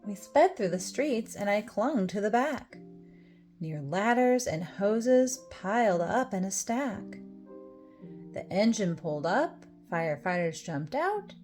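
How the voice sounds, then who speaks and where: A woman reading a rhyming children's storybook aloud, with expressive, deliberate phrasing. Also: mid-range voice. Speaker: storybook reader. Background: music.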